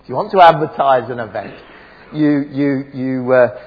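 Preacher, husky voice, reverberant church. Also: male voice, mid-range voice, normal speed. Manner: wry, conversational, self-deprecating, many ums and restarts. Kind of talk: sermon. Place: church hall with PA system. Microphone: PA microphone. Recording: dull lo-fi recording in a reverberant church.

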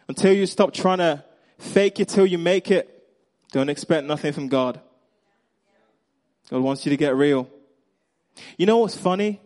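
A man with a Nigerian accent preaching a sermon, acting out the characters' lines and swinging between loud emphasis and quiet, hushed moments. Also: mid-range voice. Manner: animated storyteller, acts out Bible characters' lines.

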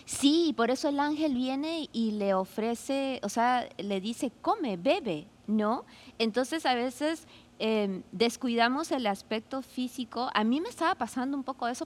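A woman in her forties, a law student, talking through her experience in a casual podcast conversation, thoughtful and full of fillers. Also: fairly high pitch. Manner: reflective, many fillers like "este" and "¿no?".

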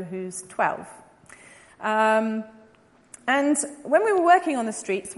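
An English woman in her forties gives an informal talk to a church audience as a missionary speaker, chatty with many ums and light laughing asides. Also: mid-range voice. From England. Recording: long reverb of a large hall.

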